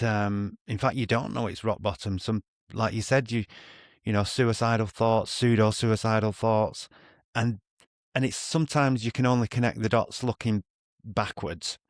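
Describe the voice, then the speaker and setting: A man hosting a podcast interview, halting and rambling as he thinks aloud in a conversational way. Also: lowish voice, no room sound, studio quality. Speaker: podcast host.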